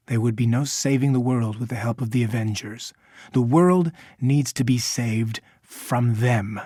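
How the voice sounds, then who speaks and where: An actor playing a bitter, dying scientist turned coldly fanatical game villain, delivering grandiose, deliberate, theatrical monologues. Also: male voice, mid-range voice, darkish tone, dry recording, clean studio sound, natural voice. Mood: bitter, grave.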